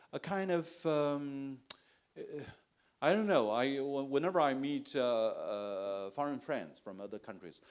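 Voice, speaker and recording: Chinese-accented male poet, panel guest; halting, self-correcting delivery at a middle pitch. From China. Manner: halting, many restarts and fillers. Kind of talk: panel discussion answer. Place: bookstore event space.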